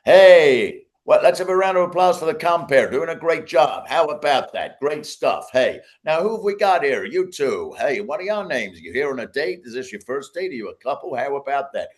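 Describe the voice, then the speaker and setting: A man's voice: fairly high pitch, big pitch swings, moderate pace, few pauses, pitched above the performer's own voice. A man mimicking a brash, over-cheerful American club comedian, firing peppy stock questions at an audience.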